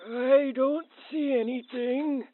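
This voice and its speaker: A meek husband working the camera, naively polite and apologetic. He speaks slowly in a high, hesitant voice. The sound is narrow and tinny, like camcorder audio in a radio comedy. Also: steady tone. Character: meek, friendly, hapless husband operating the camera. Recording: thin phone-like camcorder audio.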